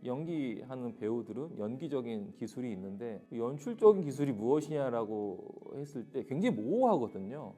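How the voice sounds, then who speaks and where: A man's voice: medium pitch, dark tone. A man from South Korea, a stage director, giving reflective interview answers in long, explanatory sentences. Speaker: theatre and musical director, interviewee.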